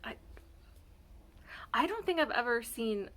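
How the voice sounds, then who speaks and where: A woman reading vlogger chatting casually and expressively, with halting thoughts that trail off. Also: a little high, lively intonation, fairly bright tone. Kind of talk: casual reaction vlog chat.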